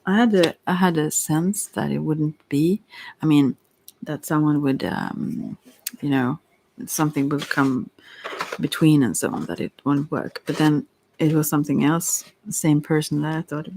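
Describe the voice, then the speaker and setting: Soft-spoken woman with a creaky voice. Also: sits low, unhurried, a little hiss or hum, poor audio. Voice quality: soft, at times whispery. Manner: relaxed, meandering, hesitant with trailing asides. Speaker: host, tarot reader. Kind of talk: soft-spoken rambling ASMR tarot chat.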